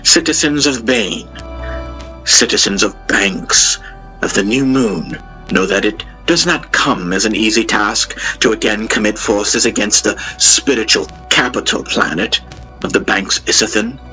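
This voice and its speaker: A man's voice: fairly low pitch, measured pace, bright, forceful tone, soft background music, rough recording. A man voicing a newly crowned queen who gives a solemn, resolute, declamatory proclamation in an audio drama. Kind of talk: formal oratory in an audio drama.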